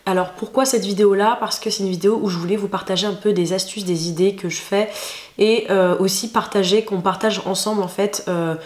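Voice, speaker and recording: A French woman vlogging in a casual chat, hoarse and stuffed up from a cold, talking with many fillers and restarts. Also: medium pitch, steady tone, a little fast. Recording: echoey room with faint noise.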